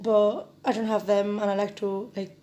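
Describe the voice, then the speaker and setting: Casting: young woman cooking vlogger, mellow and flat-toned, casual chatter. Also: mid-range voice, quick pace, dull audio. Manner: relaxed, chatty, many "like" and "basically" fillers. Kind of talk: casual cooking demonstration narration.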